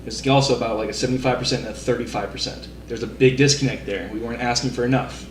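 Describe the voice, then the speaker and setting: A man's voice: mid-range voice, fairly even intonation, rapid-fire. A man co-hosting a casual movie podcast, ranting in an exasperated, emphatic and sweary way. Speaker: podcast co-host. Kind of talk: casual panel rant about movies. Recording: roomy echo, slight background noise.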